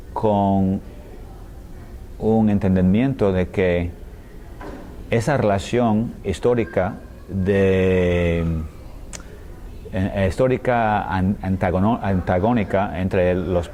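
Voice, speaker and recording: A man, a scholar answering interview questions in a language that is not his one, speaking hesitantly with many fillers, pauses and restarts and often ending phrases with a questioning tag. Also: lowish voice, mellow, slight background noise. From United States. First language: English. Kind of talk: thoughtful conversational interview answers.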